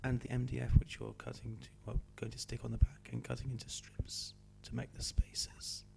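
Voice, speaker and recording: A male teacher with a cold, hoarse and croaky, patiently talking students through a homework sheet. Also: medium pitch, level tone, moderate pace, background noise. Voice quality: croaky, slips into whispery breaks. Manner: patient, explaining step by step. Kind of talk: homework help walkthrough.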